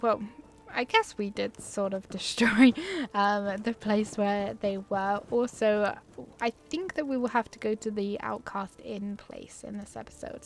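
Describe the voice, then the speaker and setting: A woman hosting a gaming video with casual, chatty commentary, thinking aloud informally as she plays. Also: mid-range voice. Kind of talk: casual let's play commentary.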